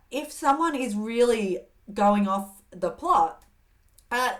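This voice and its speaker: A middle-aged woman hosting an advice show, coaching in a steady, earnest way and acting out example phrases. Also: on the low side. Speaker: host giving communication advice. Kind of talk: advice talk to camera.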